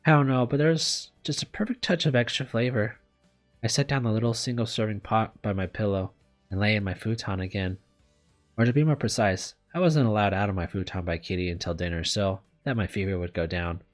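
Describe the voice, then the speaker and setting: A man's voice: lowish voice, fairly quick. A man narrating a visual novel as a let's player, sometimes stumbling and rereading words. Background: music.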